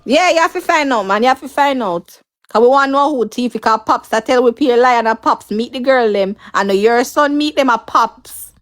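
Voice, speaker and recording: Insistent high-voiced woman. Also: normal speed, faint music behind. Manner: urging, insistent. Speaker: livestream participant. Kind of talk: casual chat.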